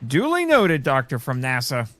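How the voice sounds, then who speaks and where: A man's voice: medium pitch, huge pitch swings, normal speed, fairly bright tone, in a large hall. A man playing a weary, sardonic radio host in an audio drama, dry and dramatic, switching between first-person narration and dialogue. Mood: defensive, dry. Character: sardonic, weary young male radio host who survived a crash.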